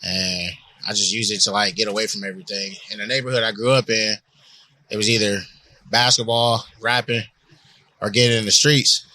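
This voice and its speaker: A man rapper from Cleveland, answering easygoing and soft-spoken in a casual interview at a concert venue. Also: fairly low pitch, steady tone, fairly quick. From Cleveland, Ohio.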